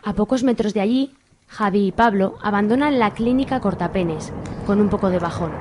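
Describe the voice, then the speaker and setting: A woman's voice: medium pitch, moderate pace, noisy background. A woman delivering scripted narration as the narrator of a comic radio soap.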